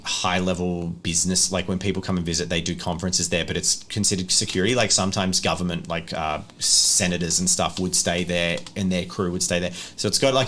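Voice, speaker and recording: A man's voice: low-pitched, fairly bright tone, a little hiss or hum. A man acting as game master, describing scenes conversationally for a tabletop roleplaying game.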